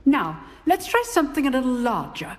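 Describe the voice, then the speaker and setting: A woman's voice: high-pitched, big pitch swings, moderate pace, heavy background noise. A woman voicing a strict, demanding female magic professor, with a formal, commanding delivery. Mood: stern. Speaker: professor teaching dueling. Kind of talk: video game voice acting.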